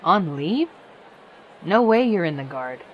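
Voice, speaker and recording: A woman's voice: fairly low pitch, very animated, unhurried, lo-fi sound, a little hiss or hum. A woman voicing a dry, guarded, protective older-sister pony in a fan audio drama. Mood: guarded, skeptical.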